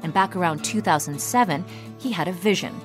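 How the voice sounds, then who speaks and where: A woman reporter giving calm, measured scripted narration. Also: lowish voice, music in the background.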